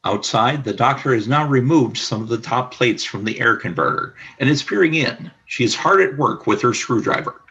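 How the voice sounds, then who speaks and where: A man's voice: mid-range voice, animated, normal speed, few pauses, bright, forceful tone, husky, lo-fi sound. A man reading scripted narration for a radio play, describing the action in the present tense.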